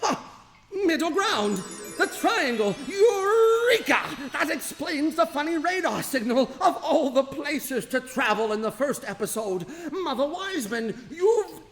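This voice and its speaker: A man playing an excitable, eccentric scientist in a comic radio drama, wordy, theatrical and prone to flustered stammering. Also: very high voice, unhurried, soft background music, exaggerated voice. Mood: triumphant. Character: excitable eccentric male scientist and time-machine inventor. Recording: reverberant hall, faint background noise.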